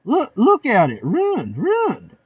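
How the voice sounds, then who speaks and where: Brief: man playing a shrill unhinged garden-proud housewife, wild swoops, dull distant sound. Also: wildly swooping pitch, slow, breathy edge, full character voice. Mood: shrill, deranged. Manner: shrieking, then eerily muttering. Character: unhinged middle-aged housewife obsessed with garden.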